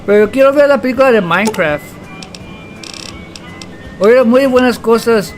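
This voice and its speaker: A man's voice: extremely high pitch, moderate pace, soft background music. A man from Texas, chatting casually on a game livestream in a slangy, joking way. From Texas, United States. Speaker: game streamer. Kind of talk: casual bilingual livestream chat.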